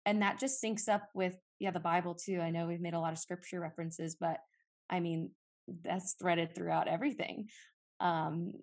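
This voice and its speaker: A woman's voice: on the low side, steady tone, normal speed. A woman co-hosting a podcast, chatting in a rambling, personal way with lots of fillers. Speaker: podcast co-host. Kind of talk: casual podcast chat.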